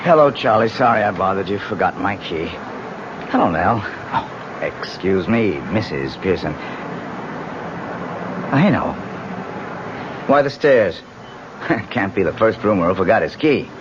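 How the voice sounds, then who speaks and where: A man's voice: low voice, animated, measured pace, long pauses, muffled recording, noisy background. An actor playing a casual, self-assured male lodger in old-time radio drama, on a vintage recording. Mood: casual, apologetic.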